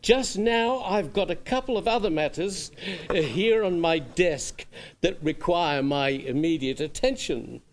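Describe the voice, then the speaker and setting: An actor playing a busy, unconcerned police sergeant, brisk and condescending, in suspense radio drama style. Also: male voice, on the high side, natural voice. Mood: dismissive, placating. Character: dismissive, busy police sergeant on desk duty.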